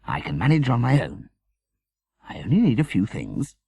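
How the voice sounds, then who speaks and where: A man voicing a put-upon, hesitant mother in a storybook, tentative and gently evasive. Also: mid-range voice, huge pitch swings, moderate pace, vocal fry, breathy edge, no echo, exaggerated voice. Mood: reluctant.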